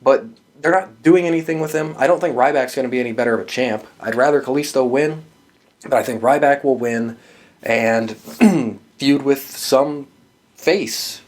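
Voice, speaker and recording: A man's voice: mid-range voice, normal speed. A man hosting a podcast, giving casual, opinionated commentary in an informal, emphatic fan's way with some swearing. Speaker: podcast host giving wrestling predictions.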